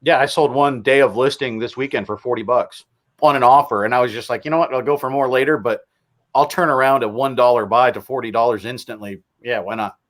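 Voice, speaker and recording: A man co-hosting a casual livestream chat, explaining things at a relaxed pace with examples. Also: mid-range voice, a little fast. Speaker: co-host.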